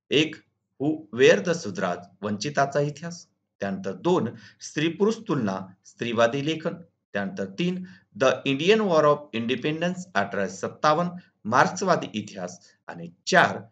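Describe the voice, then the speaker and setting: A male teacher takes students through exam questions in a muffled, low-fidelity recording. His mid-pitched voice swings up and down in a lively way as he reads out each item. The delivery is formal, methodical and instructional. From Maharashtra, India. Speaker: school teacher and video channel host. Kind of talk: instructional exam walkthrough, reading questions and answers. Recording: dull lo-fi recording.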